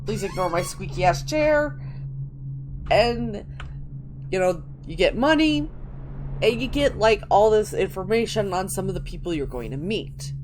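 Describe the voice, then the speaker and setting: A woman's voice: medium pitch, lively intonation, measured pace, a little mellow, a little hiss or hum. A woman hosting a gaming video in casual, informal chat, recorded at home.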